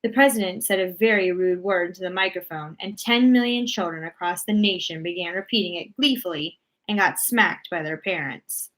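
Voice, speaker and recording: A woman reading a children's storybook aloud as narrator, expressive and deliberate in her storytelling. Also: fairly low pitch, poor audio.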